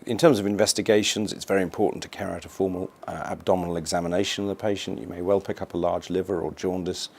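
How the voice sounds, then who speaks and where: A man with a very deep voice and a lot of vocal fry explains things as a hospital specialist. His delivery is measured and quite flat, with a husky edge. It sounds like an interview for an educational film.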